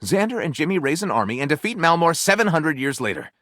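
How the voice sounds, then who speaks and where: A man's voice: fairly high pitch, very animated, fast, bright, forceful tone, no room sound, clean studio sound. A man voicing a grandiose, lewd, self-satisfied storyteller character in a video game, with wry, sarcastic asides. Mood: playfully pompous.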